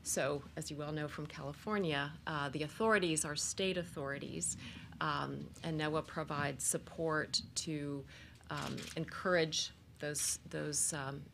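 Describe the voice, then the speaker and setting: A woman on a panel at a press event, her voice very low. She speaks haltingly, with many pauses and fillers. Faint noise sits behind her. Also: steady tone, gravelly, a bit bright. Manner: hesitant, with many fillers and restarts. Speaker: panelist, coastal program official. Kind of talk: panel discussion remarks. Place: conference room at a press event.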